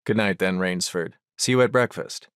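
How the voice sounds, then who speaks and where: A man's voice: deep voice, normal speed, fairly bright tone, clean tone, dry recording, clean studio sound. A man acting a thoughtful, genial but uneasy hunting companion in an audio drama. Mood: genial, sleepy.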